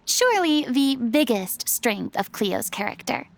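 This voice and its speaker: A woman's voice: fairly high pitch, sing-song, measured pace, gravelly, no room sound, full character voice. A woman voicing a gracious young artistic consultant who speaks politely and formally. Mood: earnest. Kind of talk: game voice acting.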